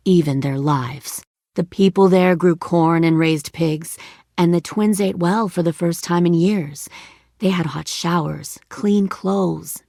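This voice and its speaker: A woman's voice: deep voice, gravelly, darkish tone, no echo, studio quality, heavily acted. A woman playing a cold, menacing middle-aged commander of armed survivors in a dramatic video game.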